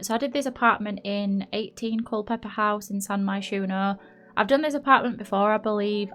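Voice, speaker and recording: A woman chats through a game build voice-over in a mid-pitched voice, a little nasal from a blocked nose. She rambles and doubts herself, full of fillers. Quiet music plays under her voice. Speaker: YouTuber narrating a game build. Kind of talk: casual chatty voice-over. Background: faint background music.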